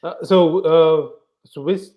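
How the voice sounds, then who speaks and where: A man from eastern India, a native Bengali speaker with a Bengali Indian accent, answering casually in an interview at home in halting, repetitive phrases. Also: fairly high pitch, steady tone, lo-fi sound. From West Bengal, eastern India. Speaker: podcast guest, bank professional.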